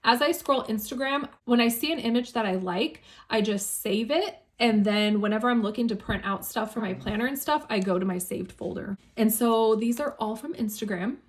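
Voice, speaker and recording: A young woman talks through her planner in a bright, chatty way, her voice pitched a little high. A touch of hoarseness sits in it from singing. She laughs easily as she goes. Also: moderate pace, few pauses. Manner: chatty, enthusiastic, laughs often. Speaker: planner YouTuber. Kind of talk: casual planner flip-through.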